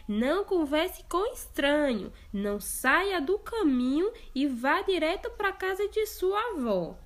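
Woman voicing a storybook mom, gentle, instructing, high-pitched, unhurried. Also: big pitch swings, full character voice. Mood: caring. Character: caring mother of a young girl.

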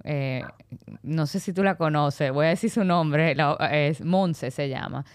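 A woman's voice: low-pitched, a little slow, dry recording, clean studio sound. A woman with a Dominican accent hosting a podcast, upbeat and enthusiastic. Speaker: podcast host. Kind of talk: podcast interview.

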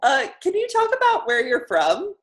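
A woman's voice: very high voice, wildly swooping pitch, moderate pace. A woman interviewing a guest over a video call, reacting with eager wows and laughter. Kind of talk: casual interview.

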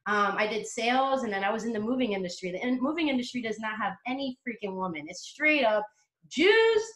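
A woman's voice: on the high side, expressive, a little fast, fairly bright tone. Brash, confident woman in an echoey room. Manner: brash, confident, swears freely. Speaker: interview guest, motivational coach. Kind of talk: casual podcast interview.

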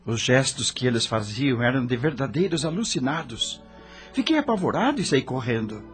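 A man's voice: medium pitch, very animated, normal speed, natural voice. A Brazilian man acting as the frightened, lost ghost of a rock star, narrating a radio drama in a dramatic, emotional way. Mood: anguished, haunted. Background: background music.

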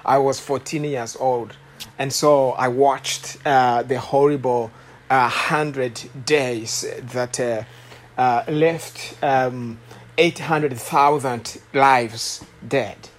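A man in his late thirties with a Rwandan accent gives a guest sermon. He speaks in a mid-range voice, halting often with fillers and restarts as he shares his testimony. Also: mid-range voice, fairly even intonation. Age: late 30s. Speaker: guest preacher.

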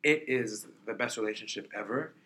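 A man's voice: fairly low pitch, brisk, fairly bright tone. A man in his thirties from New York, chatting easily as an interview guest and filling his talk with "like" and "you know". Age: 30s. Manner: chatty, laid-back, peppered with "like" and "you know". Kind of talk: casual chat.

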